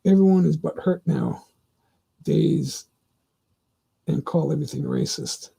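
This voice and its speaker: Gravelly, raspy middle-aged man. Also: on the high side, dark tone. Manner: rambling and opinionated, with frequent halting restarts. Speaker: livestream host. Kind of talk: casual livestream monologue answering chat.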